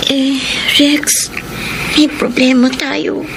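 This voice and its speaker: A woman's voice: high voice, level tone, very slow, background noise, heavily acted. An actress playing a distressed but defiant teenage girl in a radio melodrama, with a Filipino accent. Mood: anxious. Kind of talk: melodramatic radio drama acting. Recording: music bed behind.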